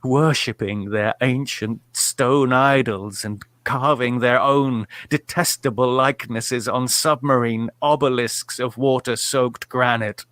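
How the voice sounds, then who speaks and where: Guy playing haunted doomed horror narrator, theatrical and formal, sharp forceful voice, really dry recording. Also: mid-range voice, fairly even intonation, slow pace, few pauses, dry recording. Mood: ominous dread. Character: tormented male narrator of a horror tale, morphine addict, doomed. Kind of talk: dramatic narrated horror audio drama.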